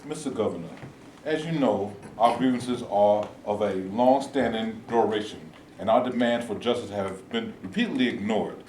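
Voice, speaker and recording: An actor in a staged reading playing a formal, deliberate activist spokesman. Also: lowish voice, darkish tone, far from the mic, natural voice. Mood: firm. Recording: echoey room, voice from afar, faint hiss.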